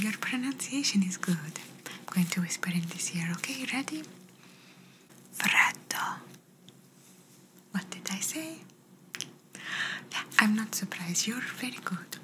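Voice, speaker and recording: A woman playing a gentle, reassuring doctor in an ASMR exam roleplay, speaking in a soft breathy whisper with slightly phrasing. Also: medium pitch, natural voice. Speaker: ASMR performer. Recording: noisy close-up whisper recording.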